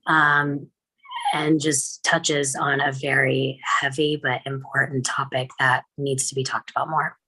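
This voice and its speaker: A woman with a husky voice giving introductory remarks before a script reading. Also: very deep voice, flat delivery, unhurried, bright, forceful tone, rough recording.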